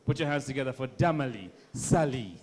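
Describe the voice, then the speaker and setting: A man's voice: medium pitch, expressive, fairly quick, a little mellow. A man hosting an event as master of ceremonies and introducing speakers. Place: large conference hall. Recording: echoing hall PA with clear noise.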